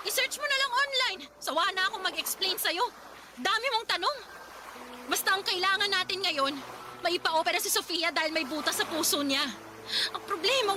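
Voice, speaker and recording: A woman's voice: extremely high pitch, background noise. A woman playing a distraught, snappish young wife and mother in a Tagalog radio drama, blunt and exasperated. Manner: exasperated, blunt, sharp-tongued. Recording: music behind the voice.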